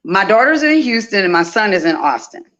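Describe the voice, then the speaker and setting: A woman's voice: mid-range voice, very animated, brisk, a bit bright, highs cut off. A woman, telling stories casually and repeating phrases for emphasis, as a guest in an interview. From Louisiana, United States. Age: 50s. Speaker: interview guest, festival organizer. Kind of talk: casual conversational storytelling.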